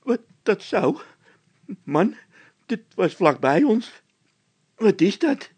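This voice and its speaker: A man's voice: high-pitched, sing-song, takes it slowly, a little mellow, husky, voice pushed up, heavily acted. A man voicing a whiny, jittery workman in a children's audiobook, pushy, easily frightened and full of exclamations. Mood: agitated. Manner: insistent, exclamatory.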